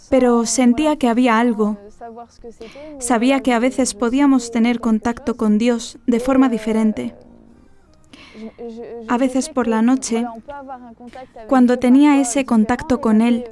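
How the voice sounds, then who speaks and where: Earnest young woman, soft higher voice. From France. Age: 20s. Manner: reflective, measured and earnest. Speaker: interviewee, graduate student. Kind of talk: personal testimony.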